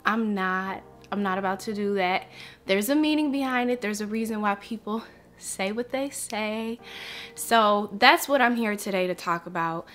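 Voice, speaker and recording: A woman's voice: mid-range voice, fairly bright tone. A young woman talking to camera on her vlog, earnest and reflective as she shares something personal. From United States. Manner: earnest, reflective, slow and heartfelt. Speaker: vlogger.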